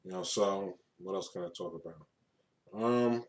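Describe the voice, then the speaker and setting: Brooklyn guy in his 30s, kinda low voice, just rambling to the camera, repeats himself a lot. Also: measured pace. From Brooklyn, New York. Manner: rambling, repeats and restarts phrases, laid-back slang. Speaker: vlogger. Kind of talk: casual unscripted monologue to camera.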